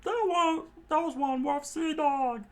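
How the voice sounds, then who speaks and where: A man voicing panicky young male pirate sidekicks in a strained, high, yelping voice. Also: unhurried. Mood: giddy.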